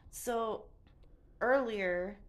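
A woman's voice: mid-range voice, drawn-out words. A woman interviewing an artist, asking questions a bit hesitantly, heard from farther off the mic.

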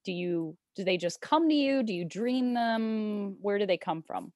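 A woman interviews a guest as a podcast host in a conversational style. Her voice is mid-pitched and recorded dry, with very little room sound. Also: animated, measured pace, a little mellow, dry recording.

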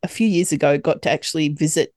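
A woman's voice: deep voice, fast, darkish tone, no room sound, studio quality. A woman who works as a yacht chef, hosting a podcast interview in a friendly, chatty way and laughing often. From Australia.

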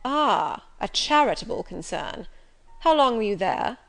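Lady reading as a gruff bossy older gentleman from a classic novel, curt, fires off questions. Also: sits high, sing-song, a little slow, exaggerated voice. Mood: imperious, probing. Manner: curt, commanding, interrogating. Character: gruff, brusque, imperious middle-aged master of the house.

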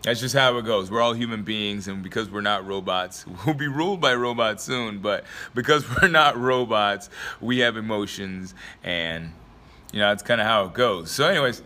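Young male vlogger, a bit raspy from a dry throat, bright and forceful, loose rambling motivational talk. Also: lowish voice, moderate pace. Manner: rambling, filler-heavy, laughs often. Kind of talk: casual motivational talk to camera.

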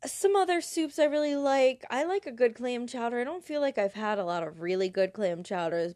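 A woman's voice: medium pitch, a little mellow, no echo, clean studio sound. Stuffy-nosed woman hosting a podcast. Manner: chatty and rambling, many fillers and restarts. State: head cold, sniffly. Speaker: podcast host. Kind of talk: casual solo podcast chat.

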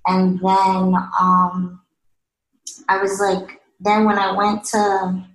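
A woman's voice: mid-range voice, monotone, drawn-out words, fairly bright tone. A young woman from New York City, a guest artist answering interview questions casually, hesitant and full of fillers. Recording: echoey room with faint noise.

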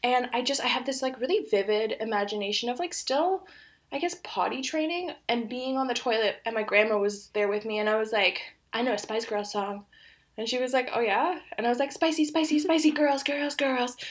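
A woman's voice: on the high side, fairly quick. A woman in her twenties, whose first language is Polish, co-hosting a podcast and telling stories with frequent laughter. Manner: laughs often, warm storytelling. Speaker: podcast co-host. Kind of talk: casual chat.

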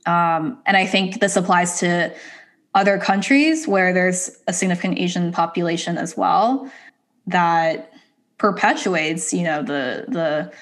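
A woman in casual conversation, thoughtful and measured, pausing with hesitant fillers. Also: fairly low pitch.

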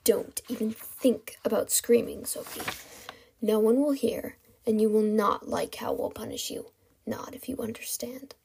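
A woman voicing a menacing, mocking male kidnapper in a hollow, breathy hissing whisper for a story reading. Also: a little high, steady tone, warm tone, heavily acted, poor audio. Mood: cold threatening. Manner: taunting, drawn-out menace.

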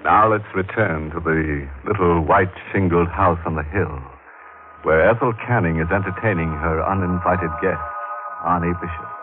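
A man's voice: low voice, moderate pace, close to an everyday voice. A man playing a macabre, wisecracking host narrating an old radio mystery show in a mock-genial, sardonic manner. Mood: gleefully macabre. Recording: tinny old radio broadcast. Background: music.

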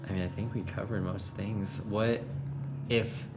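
Low, flat-voiced man hosting. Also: a little slow, loud music behind the voice. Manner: easygoing, loose phrasing. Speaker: podcast host. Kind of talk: casual interview chat.

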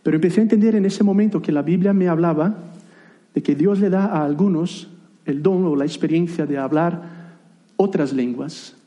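Preacher, thirties, male, Portuguese native; higher-pitched, mellow voice, chatty sermon delivery with restarts. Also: normal speed. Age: 30s. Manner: conversational, self-deprecating asides, frequent restarts.

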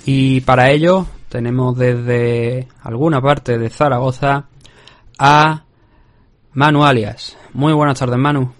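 A man's voice: mid-range voice, fairly even intonation, slow. Man hosting a combat sports podcast. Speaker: podcast host. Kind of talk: MMA event preview commentary.

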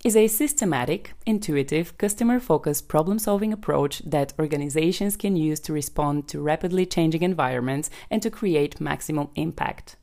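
Low-voiced woman from Bulgaria hosting a podcast. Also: vocal fry, darkish tone. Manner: chatty, conversational, frequent ums. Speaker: podcast host and business coach. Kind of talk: solo podcast explainer.

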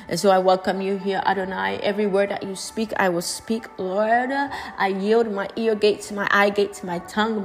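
A woman leading a spontaneous charismatic prayer as a preacher, fervent and pleading, repeating phrases insistently and slipping into tongues. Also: mid-range voice. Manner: breaks into speaking in tongues.

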